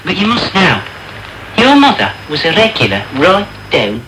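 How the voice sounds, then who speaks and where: Sneering teenage bully. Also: male voice, high voice, huge pitch swings, moderate pace. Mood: mocking, cruel. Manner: taunting, mock-pompous. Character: sneering, bullying teenage male apprentice, cocky and cruel. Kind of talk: British radio drama acting. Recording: noisy, crackly medium-wave radio tape.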